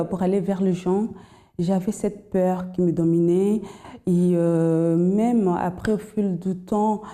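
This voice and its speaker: A young woman tells her story in a hesitant, faltering way, with many pauses and restarts. Her voice is deep and mellow and her slight foreign accent shows she speaks a second language. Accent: slight foreign accent in French. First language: not French. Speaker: testimony guest. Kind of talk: personal testimony.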